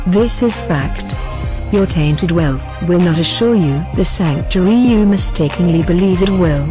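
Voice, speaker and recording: A synthetic-sounding voice of unclear sex delivers a scripted political address in a formal, solemn, declarative manner, as spokesperson for an activist group. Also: medium pitch. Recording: voice over background music.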